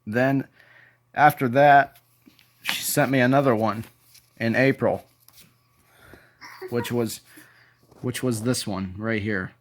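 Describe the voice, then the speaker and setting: A man talking in a deliberate, sentimental way, as in a casual show-and-tell video, sometimes reading aloud. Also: medium pitch, steady tone. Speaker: YouTube video creator.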